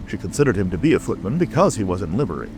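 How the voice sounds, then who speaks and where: A man's voice: fairly low pitch, sing-song, racing, over music. A man narrating a classic storybook as a scripted audiobook.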